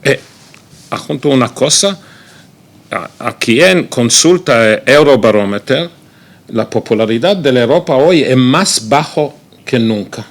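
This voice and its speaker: A man with an Italian accent gives a seminar talk in Spanish, which is not his first language. He speaks slowly and haltingly, filling his pauses with "eh". His voice sits at a middle pitch. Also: lively intonation. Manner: halting, with frequent "eh" fillers. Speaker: guest speaker.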